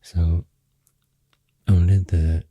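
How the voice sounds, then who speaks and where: Casting: man, Swedish accent, very dark low voice, soft and breathy, slow halting ASMR ramble, close-miked. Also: level tone, dry recording. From Sweden. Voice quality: deep, soft, breathy, half-whispered. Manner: hesitant, slow, halting, self-correcting. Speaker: ASMR artist. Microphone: close microphone.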